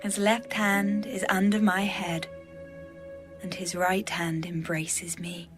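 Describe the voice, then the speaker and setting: A woman playing a tender, devoted young bride in love, in a measured, poetic dramatised Bible reading. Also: fairly low pitch, level tone, over music.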